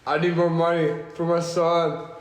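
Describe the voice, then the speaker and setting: A man's voice: a little high, little pitch movement, normal speed. A man co-hosting a podcast, reading his part aloud in an amateur table read of an action film script. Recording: echoey room with faint noise.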